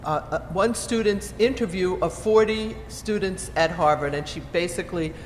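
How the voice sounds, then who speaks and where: A woman's voice: on the low side, moderate pace. A woman professor on a panel, answering an audience question candidly and thoughtfully. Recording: hall reverb with faint room noise.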